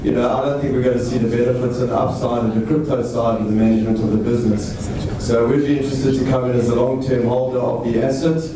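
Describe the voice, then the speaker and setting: A man on an investor panel giving measured, hesitant feedback to a founder. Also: mid-range voice. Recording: echoey room, distant mic, noisy.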